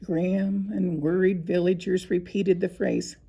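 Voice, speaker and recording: A woman narrating a scripted children's story, with expressive, deliberate phrasing and dramatic pauses. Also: lowish voice, vocal fry, husky. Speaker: storybook reader.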